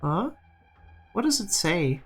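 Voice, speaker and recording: A woman's voice: on the low side, sing-song, slow pace, husky, soft background music, heavily acted. A woman voicing a cool, impatient, calculating character in a game fan dub. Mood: sly, probing.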